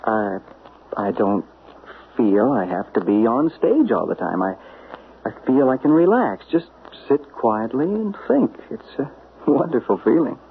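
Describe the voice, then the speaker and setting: A calm literary agent speaks earnestly, in soft, halting phrases. His voice has a gravelly edge and moves a lot in pitch. The sound is thin and tinny like an old broadcast, with a faint hiss behind it. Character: calm, newly peaceful male literary agent. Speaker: Dick Harrison, a literary agent. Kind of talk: 1970s radio drama acting.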